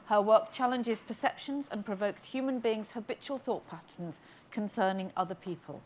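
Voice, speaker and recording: A woman's voice: medium pitch, normal speed, heavy background noise. A woman hosting a summit session, introducing speakers in a chatty way with fillers. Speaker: event host.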